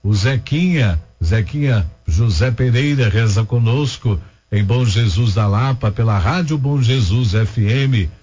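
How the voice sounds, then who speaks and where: A man's voice: medium pitch, a little slow. A Brazilian man hosting a radio prayer show, steadily reading out listeners' names and towns and leading the prayers. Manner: steady, rhythmic listing of names and places. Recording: dull lo-fi radio broadcast.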